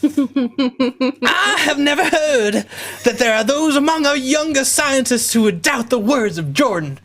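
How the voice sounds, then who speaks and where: A man voicing a suspicious, excitable old servant in a hammy radio play, drawing out his exclamations. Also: extremely high pitch, measured pace, pitched above the performer's own voice, exaggerated voice. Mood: shrill, excited.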